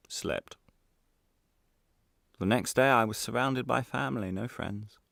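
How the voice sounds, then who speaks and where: Man acting an anguished ex-prisoner, very animated delivery. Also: fairly low pitch, gravelly, no echo, studio quality, heavily acted. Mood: unsettled. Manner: intense, brooding, broken phrasing with repetitions. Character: anguished, guilt-ridden male former prisoner telling his story. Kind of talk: radio drama monologue.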